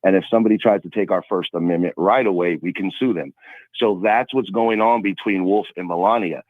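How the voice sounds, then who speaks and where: Tinny phone-line male caller, lowish voice. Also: a little fast, no echo. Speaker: radio show caller. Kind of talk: conversational argument, explaining.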